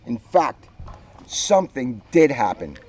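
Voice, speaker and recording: A man, a police officer, reading a children's book aloud with expressive, drawn-out emphasis and casual asides. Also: mid-range voice, breathy edge. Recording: dull, muffled lo-fi sound.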